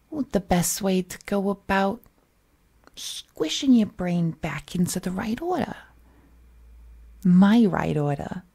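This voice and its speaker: Roleplay audio villainess, played by a woman: doting, menacing captor, slow and dark-toned, slangy, coaxing, breathy. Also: fairly low pitch. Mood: sinister, hushed. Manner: coaxing, folksy slangy speech, drifting into breathy whispers. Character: unhinged possessive female supervillain kidnapper, sweetly menacing and doting. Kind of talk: roleplay audio acting, intimate monologue.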